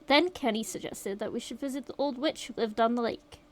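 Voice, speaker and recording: A woman voicing a shaken young survivor, reading the account aloud in a steady, rueful way. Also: fairly high pitch, a little fast, lightly acted. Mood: grim retelling. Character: shaken young survivor recounting a horror night.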